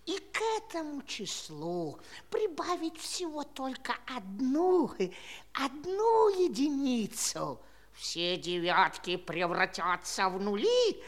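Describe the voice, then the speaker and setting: A woman's voice: fairly high pitch, wildly swooping pitch, takes it slowly, exaggerated voice. A woman playing a small, boastful, quarrelsome number who argues emphatically in a children's audio play. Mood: stubborn, boastful. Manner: argumentative, emphatic. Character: stubborn boastful little number One, quarrelsome.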